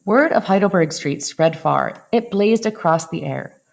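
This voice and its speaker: Gravelly-edged woman reading a children's story. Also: lowish voice, animated, measured pace. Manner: dramatic, acts out exclamations. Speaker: storyteller reading a picture book aloud. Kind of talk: expressive children's read-aloud.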